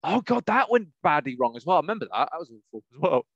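A man's voice: a little high, very animated, quick pace, gravelly, a bit bright, no echo, dull audio. A man chatting casually as a podcast co-host, rambling and jumping between thoughts. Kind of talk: casual chat about video game movies.

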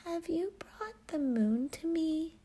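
Tiny sick princess girl woman does her, high and weak, half whispered. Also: lively intonation, drawn-out words, exaggerated voice. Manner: soft, weak and breathy, high child voice.

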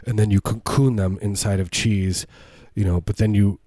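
A man with a low baritone voice, co-hosting a podcast and riffing on absurd jokes in a deadpan, rambling way with many fillers. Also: normal speed, no echo, studio quality. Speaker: podcast co-host. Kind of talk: deadpan absurd comedy banter.

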